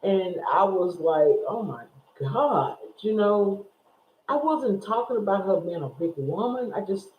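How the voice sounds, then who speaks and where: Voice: mid-range voice, animated, a little slow. A speaker in their sixties chatting casually to viewers, rambling and repeating phrases as they go. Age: 60s. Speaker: YouTube vlogger. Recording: echoey room over a tinny phone-like line.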